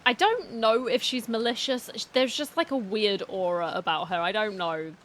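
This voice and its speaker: A woman reacting casually to a game as a VTuber streamer. Her voice sits at a medium pitch, and she muses aloud, hesitating as she comments. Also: moderate pace, a bit bright, a little hiss or hum.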